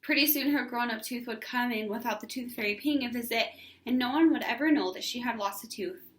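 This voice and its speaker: A woman's voice: a little high, brisk. A woman teacher reading a children's storybook aloud and talking to her class. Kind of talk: storybook narration. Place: indoors at home. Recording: echoey room.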